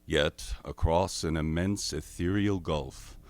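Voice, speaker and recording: A man playing a grave narrator of an alien invasion tale in a radio drama, solemn, measured and ominous. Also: extremely low pitch, dry recording. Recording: dry close recording with music behind.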